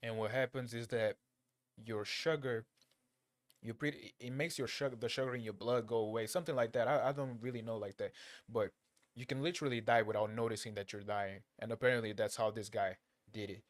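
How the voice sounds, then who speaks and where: A man from Angola who grew up in Portugal, a native Portuguese speaker, giving casual, unscripted commentary in a blunt, slangy, halting way, often repeating his phrases. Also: medium pitch, steady tone, no echo. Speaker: reaction video creator.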